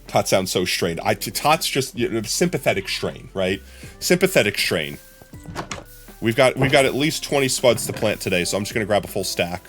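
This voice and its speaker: A young adult man streaming a game live, chatting casually with his viewers in a hoarse, rambling way. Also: lowish voice, lively intonation, moderate pace, music in the background. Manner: chatty, rambling, often addressing chat.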